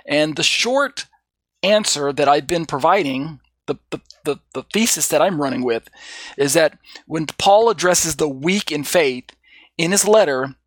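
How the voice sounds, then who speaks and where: A man's voice: on the high side, expressive, measured pace, a bit bright, dry recording. A middle-aged man teaching an informal Bible study lesson, stumbling over words with plenty of fillers and apologizing for his own mistakes. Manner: self-deprecating, stumbling over Greek readings, frequent fillers.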